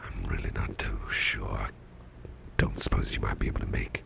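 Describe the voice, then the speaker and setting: A man playing a sickly, drowsy, kindly boss in an ASMR roleplay, murmuring breathily and haltingly in a gravelly voice, often repeating himself. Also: rumbling low, level tone, fairly bright tone, heavily acted. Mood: uneasy, puzzled. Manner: slow, rambling, hesitant, repeating himself. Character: sickly, drowsy, sweet-tempered boss confiding in his employee.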